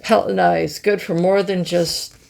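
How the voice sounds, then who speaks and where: A middle-aged woman with a hoarse, scratchy voice, chatting slowly to viewers as she reviews art pens and marvels at their colors. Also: on the low side, fairly even intonation, darkish tone. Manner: slow, musing, easily amazed, talks to viewers. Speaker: craft channel host. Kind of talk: casual product review chat.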